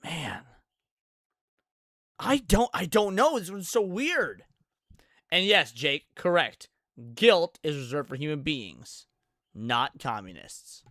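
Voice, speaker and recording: A man with a fairly high, very bright and forceful voice reacts casually as a streamer. He is animated, crude and profane, thinking out loud and reading bits of text as he goes. The sound is dry and close. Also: takes it slowly, pauses often, dry recording.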